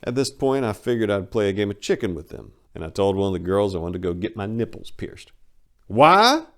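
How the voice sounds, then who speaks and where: A man with a lowish voice gives a dramatic reading of a first-person memoir. He tells it in a colloquial way, brash and wry throughout. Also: very animated, a little fast. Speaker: narrator.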